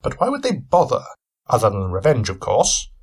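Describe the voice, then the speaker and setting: A man's voice: medium pitch, huge pitch swings, normal speed, slightly rough, pitched above the performer's own voice, full character voice. A man voicing a thin, keen-eyed older male detective who speaks in a formal, courteous, deducing way. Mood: genial.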